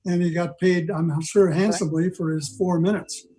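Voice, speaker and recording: An older man giving an informal history talk on a video call, rambling along with halting digressions. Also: high-pitched, fairly even intonation, dark tone. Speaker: club member giving a presentation. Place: video meeting from home.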